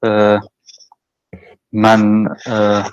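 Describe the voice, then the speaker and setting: A man calling into a live debate, answering hesitantly with many fillers and halting phrases. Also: lowish voice, completely flat delivery, clean tone, dry recording, highs cut off. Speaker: caller. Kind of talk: call-in debate.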